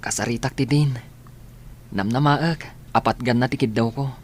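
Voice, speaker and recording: A man's voice: mid-range voice, moderate pace, close to an everyday voice. Subdued, determined schoolboy played by a man. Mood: earnest. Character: gentle, determined ailing schoolboy, devoted son. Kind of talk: radio drama acting. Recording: faint background ambience and noise.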